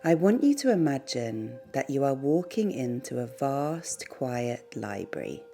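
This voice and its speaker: A woman leading a guided meditation in a soothing, gentle, unhurried way, pausing between short phrases. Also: a bass voice, big pitch swings, vocal fry. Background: background music.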